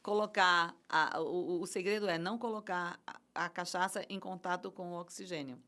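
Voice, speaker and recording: Passionate Brazilian woman, lowish voice. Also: fairly even intonation, moderate pace, bright, forceful tone. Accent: Brazilian Portuguese. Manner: passionate, emotional, many restarts and fillers. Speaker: guest, event producer. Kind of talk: casual conversational interview.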